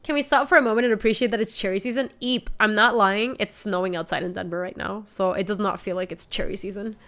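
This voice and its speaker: A woman's voice: mid-range voice, fairly even intonation, quick pace, fairly bright tone. Sniffly young woman explaining a card deck. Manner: chatty, explains deck choices, reads chat aloud. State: sniffly from a cold. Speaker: streamer. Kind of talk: casual gameplay commentary. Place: home streaming room.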